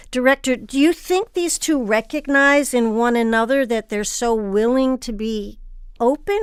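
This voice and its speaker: A woman's voice: on the high side, smooth, dry recording. A woman hosting an arts interview, articulate and inquisitive as she puts questions to guests. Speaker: host and interviewer.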